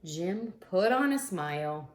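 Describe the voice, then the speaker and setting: A woman reading a storybook aloud to young children in an expressive, deliberate manner. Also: on the low side, a little hiss or hum. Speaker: school instructional coach reading aloud. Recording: roomy, echoey sound.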